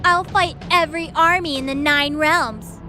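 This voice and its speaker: A woman's voice: sits high, fairly even intonation, moderate pace, sharp tone, faint music behind. A woman playing a boastful, defiant winged war goddess in an audio drama, with a menacing drawl. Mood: defiant threat. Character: fierce boastful winged war goddess, a brawling phantom queen.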